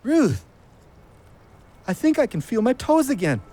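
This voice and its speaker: A man's voice: extremely high pitch, sing-song, measured pace, dark tone, heavily acted. An actor in an audio drama playing an anguished, guilt-ridden young man, bitter and blunt in his slangy talk. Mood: quiet, hopeful.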